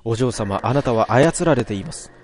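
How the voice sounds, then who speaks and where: A man's voice: medium pitch, lively intonation, moderate pace, a little hiss or hum, lightly acted. A man voicing a loyal female servant who speaks formally and pleads earnestly. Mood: pleading.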